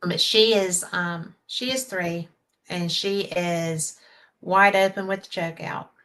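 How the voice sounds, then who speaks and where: Brief: older Southern woman, slow, bright, folksy chatty host. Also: lowish voice, fairly even intonation. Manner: chatty, laughs easily, folksy sayings. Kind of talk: casual chat on a live stream.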